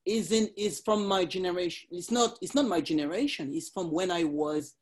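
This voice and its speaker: A man, chatting casually about parkour in animated, slightly broken English with laughs. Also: high-pitched, moderate pace. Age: 40s. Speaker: parkour practitioner. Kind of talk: casual conversation.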